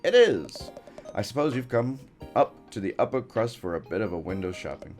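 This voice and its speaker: A man voicing a smooth-talking, condescending male crab shop clerk in a video game. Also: lowish voice, big pitch swings, moderate pace, a little mellow, soft background music. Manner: oily salesman patter.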